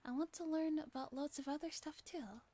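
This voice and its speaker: A woman's voice: high voice, fairly quick, mellow, voice pushed up, lightly acted. A woman voicing a curious, timid little girl in a visual novel, with a hesitant, childlike manner. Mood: eager.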